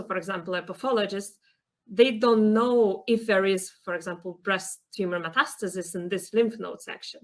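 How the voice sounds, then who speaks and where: A young woman guest explains a research topic in an earnest, careful way, stopping now and then to find her words. Her voice sits in a middle range. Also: medium pitch. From Lithuania. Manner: earnest, explanatory, some hesitations. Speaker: PhD student guest.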